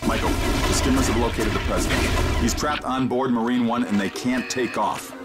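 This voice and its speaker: An actor playing a grave, urgent mission guide in a video game, giving deliberate, commanding instructions. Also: male voice, mid-range voice, fairly even intonation, lightly acted. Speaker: mission guide over radio. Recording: loud game music behind the voice.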